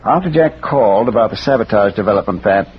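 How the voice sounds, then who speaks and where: Actor as a muffled old-radio gentleman sleuth, bookish. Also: lowish voice, animated, quick pace, lightly acted. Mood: probing, measured. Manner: hesitant, bookish, politely probing. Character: erudite, courteous amateur sleuth who quotes Shakespeare. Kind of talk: 1940s radio mystery acting. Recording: muffled, noisy vintage radio recording.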